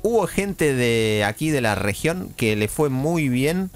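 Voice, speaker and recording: A man with a Rioplatense Argentine accent hosts a radio segment in a wry, teasing way. His pitch swings widely as he goes through the list of the day. Faint music runs under the studio talk. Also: sing-song, slow pace. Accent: Rioplatense Argentine Spanish. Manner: leads the segment, teasing and wry, reading out the day's observances. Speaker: radio host. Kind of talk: casual radio hosting.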